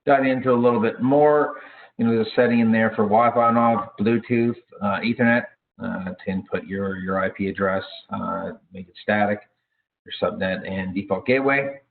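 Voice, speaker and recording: A low-voiced man leads an informal product training session. He talks haltingly, often hesitating and correcting himself mid-phrase. The audio is thin and narrow, like a phone connection. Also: creaky. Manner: halting, self-correcting delivery with frequent hesitations.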